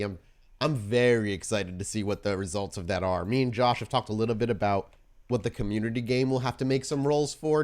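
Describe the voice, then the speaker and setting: A man hosting and narrating a tabletop roleplaying podcast as storyteller, informal in chat and deliberate when setting scenes. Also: medium pitch. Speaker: podcast host and game storyteller.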